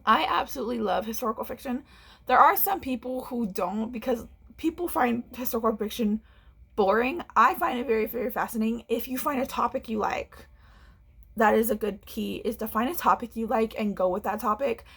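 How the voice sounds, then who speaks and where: A woman's voice: a little high, brisk, creaky, a bit bright, breathy edge. A young woman, a book vlogger chatting casually at home, a little congested, rambling and restarting as she talks. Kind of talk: casual chat to camera. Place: home room.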